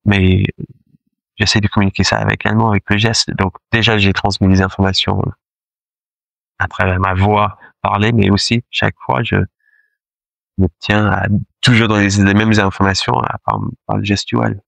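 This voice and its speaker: A low-voiced man with a gravelly, creaky edge, speaking French as his second language. As a choir director in a relaxed interview, he talks hesitantly with lots of fillers and restarts. The sound is close and dry. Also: moderate pace, no echo, low-quality recording. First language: not French; French is his second language. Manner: hesitant, many fillers and restarts, laughs often. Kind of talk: casual interview conversation.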